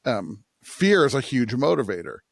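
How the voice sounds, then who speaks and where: An American man co-hosting a conversational religious podcast, thinking aloud in a halting way, often rephrasing himself and checking in with his listener. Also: mid-range voice, very animated, creaky, dry recording, clean studio sound. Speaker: podcast co-host, preacher.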